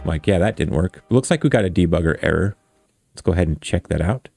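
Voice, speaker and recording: A man's voice: low voice, lively intonation, fast, creaky, darkish tone, dry recording, soft background music. A man hosting a casual coding tutorial, thinking aloud in an informal, self-deprecating way. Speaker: tutorial host.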